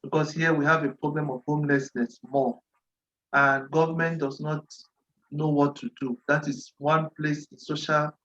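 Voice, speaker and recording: Fairly high-voiced man on a muffled call. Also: little pitch movement, fairly bright tone. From Cameroon. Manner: deliberate, repeats phrases, occasional throat clearing. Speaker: nonprofit representative at a virtual dialogue. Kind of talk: formal presentation. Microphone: video call.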